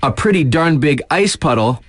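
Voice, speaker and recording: An actor playing a laid-back, wry mountain-town radio DJ in a video game, reading mock local news with casual, slangy deadpan asides. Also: male voice, fairly high pitch, normal speed, no room sound. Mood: amused.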